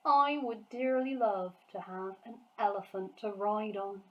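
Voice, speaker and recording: A woman voicing a huge, gentle, emotional male giant from a storybook, who talks in muddled grammar and made-up words. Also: mid-range voice, a little slow, muffled recording, close to an everyday voice. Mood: dreamy, wistful.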